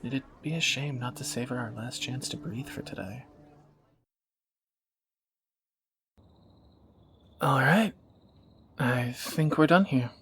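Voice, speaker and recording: A man playing a teasing, protective captor turned lover in a romantic fantasy audio roleplay, bantering and soft-spoken. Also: medium pitch, moderate pace, slight background noise, lightly acted. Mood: fond banter.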